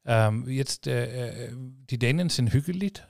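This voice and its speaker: Rambling podcast host. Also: male voice, mid-range voice, animated, a little slow, creaky, darkish tone, no echo, studio quality. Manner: rambling, many restarts and fillers. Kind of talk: casual interview chat.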